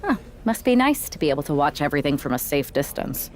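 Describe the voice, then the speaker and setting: A woman's voice: deep voice, big pitch swings, quick pace, a little mellow. A woman playing a guarded team-leader wrestler in a video game, speaking in a casual, sardonic way. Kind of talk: scripted video game cutscene dialogue.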